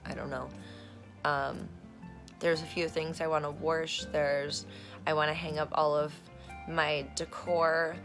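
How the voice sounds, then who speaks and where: A woman vlogging at home on her phone, her voice hoarse and rough, chatting in a meandering way with lots of fillers and casual swearing. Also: low voice, flat delivery, takes it slowly, over music. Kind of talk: casual rambling vlog chat. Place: at home, in a room being turned into an office. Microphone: phone camera recording herself.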